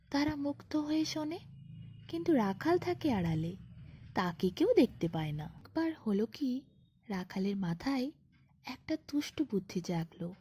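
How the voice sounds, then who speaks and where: A woman narrating a scripted bedtime fairy tale as a storyteller, in an expressive, unhurried manner. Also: on the high side, breathy edge.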